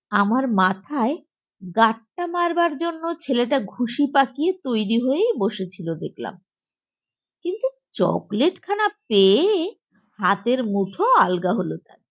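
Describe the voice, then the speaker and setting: A woman's voice: a little high, unhurried, muffled recording. A woman narrating a humorous short story expressively, acting out the dialogue as she reads. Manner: animated, acts out dialogue.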